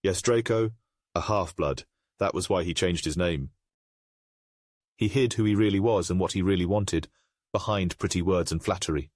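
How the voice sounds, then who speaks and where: A man playing a grave, remorseful aristocratic father in a comic dub, speaking in a formal, measured way. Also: deep voice, darkish tone, no echo, clean studio sound. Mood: solemn, remorseful.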